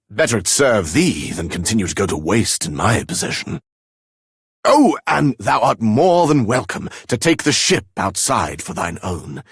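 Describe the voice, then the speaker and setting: A courtly, hospitable townsman from a fantasy game. His husky voice rises and falls widely as he takes his time over archaic, earnest phrases. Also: medium pitch, no room sound, studio quality, exaggerated voice. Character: courtly, hospitable male denizen of a fantasy realm. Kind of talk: video game voice acting.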